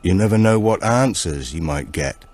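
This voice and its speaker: A man voicing a grave old storyteller who gives warnings slowly and deliberately in an audiobook. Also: low-pitched, expressive, darkish tone. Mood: grave, cautioning.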